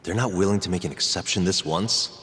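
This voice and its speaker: An actor playing an earnest, determined young lawyer in a video game. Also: male voice, low-pitched, a little fast, a bit bright, slightly rough.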